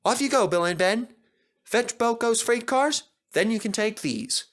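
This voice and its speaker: A man's voice: very high-pitched, lively intonation, unhurried, fairly bright tone, pitched above the performer's own voice, exaggerated voice. A man voicing an older steam engine who scolds firmly but is kind underneath, in a storybook reading. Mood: stern. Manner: scolding, firm.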